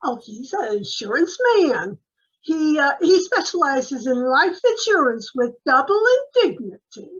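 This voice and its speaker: A naive, love-struck housemaid from an old radio comedy. She speaks high and slowly, drawling her muddled words in cheerful earnest. The audio is a little dull. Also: sing-song. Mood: proud, chatty.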